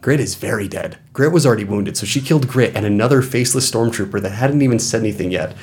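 A man's voice: on the low side, fast talker, darkish tone, a little hiss or hum. A man narrating a tabletop role-playing game as game master, in an informal, conversational way. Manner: informal, conversational rulings and scene-setting.

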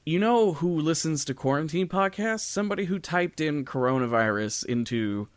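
A man's voice: on the high side, lively intonation, normal speed, clean tone, no echo. A man co-hosting a podcast, chatting casually about things. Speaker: podcast co-host.

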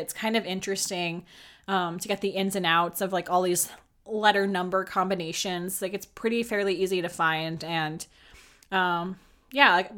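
A woman's voice: lowish voice, fairly bright tone. A young woman hosting a podcast, recapping a reality show in casual, sarcastic chat, full of filler words and swearing. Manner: chatty, sarcastic, lots of filler likes and swearing. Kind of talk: casual solo commentary.

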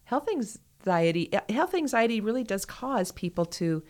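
A woman's voice: medium pitch, very animated, normal speed, darkish tone. A woman co-hosting a podcast in casual conversation, stressing points by repeating words. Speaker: podcast co-host.

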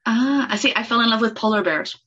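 Bright, forceful woman on slightly dull audio. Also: on the high side, quick pace, slightly rough. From born in Germany, raised in Kenya and Thailand. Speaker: podcast guest. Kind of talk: casual animated chat.

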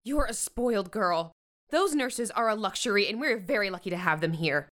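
A woman's voice: medium pitch, expressive, normal speed, a bit bright, close to an everyday voice. A middle-aged American woman playing a stressed, stern but loving mother in a modern audio drama. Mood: scolding.

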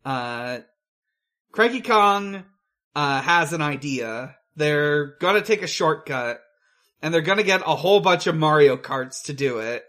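Male podcast co-host, fairly high voice, slow pace, casual recap delivery with hesitant searching. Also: expressive, a bit bright. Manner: halting, hesitant, searching for words. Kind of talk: casual film recap chat.

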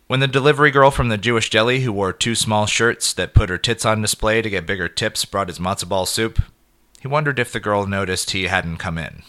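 A man narrating a scripted short story in an expressive, measured way with clipped phrases. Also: lowish voice.

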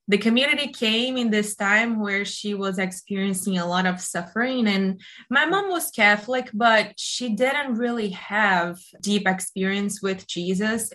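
Woman, from Brazil, interview guest, earnest, mid pitch. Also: fairly bright tone. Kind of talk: conversational interview.

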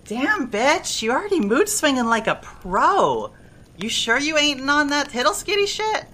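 A woman's voice: high-pitched, sing-song, a little slow, sharp tone, exaggerated voice. A woman playing a brash, affectionate party-girl best friend in a fan audio drama, with loose slangy talk and plenty of swearing. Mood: playful.

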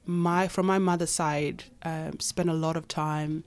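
Soft-spoken woman, halting speech. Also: sits low, fairly even intonation. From Kenya. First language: Nuer. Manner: hesitant, many fillers and restarts. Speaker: guest. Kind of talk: interview conversation.

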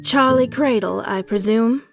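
A brash, condescending bounty hunter , acted by a woman in an audio drama. She is confident and commanding, with a smug, mocking edge. Music plays clearly behind her voice. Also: fairly high pitch, a little slow. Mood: cool, commanding.

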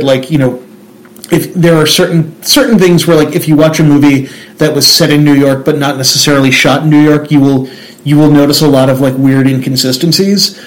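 A man's voice: fairly high pitch, poor audio. A man with a Central New Jersey accent, chatting casually and rambling through tangents with many restarts. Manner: rambling, self-interrupting, restarts a lot. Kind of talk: casual chat.

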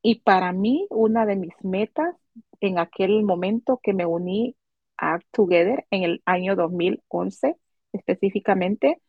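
A woman's voice: mid-range voice, moderate pace, no echo. Woman, native Spanish speaker, interview guest, earnest word-searching, muffled dry recording. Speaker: program member guest. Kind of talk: casual conversational interview.

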